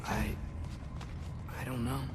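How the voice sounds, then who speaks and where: A man's voice: mid-range voice, fairly even intonation, takes it slowly, heavy background noise, close to an everyday voice. A male actor playing an earnest, curious teenage boy in a video game, often hesitant and searching. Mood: unsure. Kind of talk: video game character acting. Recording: very loud music behind the voice.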